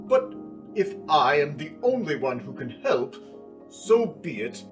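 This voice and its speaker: Cartoon older doctor, kindly, fussy, courtly, unhurried delivery, very animated intonation, music behind. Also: male voice, on the high side. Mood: kindly, pondering. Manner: fussy, courtly, hesitant, easily startled. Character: kindly, flustered older physician, portly and gentle. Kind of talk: animated drama dialogue. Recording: music under the dialogue.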